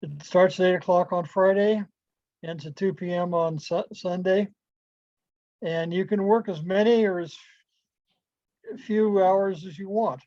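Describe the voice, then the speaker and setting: A man giving an informal club presentation over a video call, speaking haltingly with pauses. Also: sits high, fairly even intonation, mellow, no room sound. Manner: halting, pausing delivery. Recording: dull, lo-fi video call audio.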